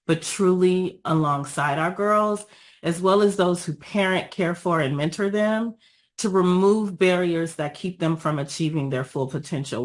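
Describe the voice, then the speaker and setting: A woman with a raspy voice after being ill, giving an earnest, measured presentation over a video call with frequent pauses and ums. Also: low-pitched. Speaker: guest speaker, nonprofit founder.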